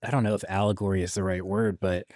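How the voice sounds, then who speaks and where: A man's voice: low voice, little pitch movement, fast, a little mellow, no room sound, clean studio sound. A man guesting on a history podcast, talking in a thoughtful, hedging, conversational way.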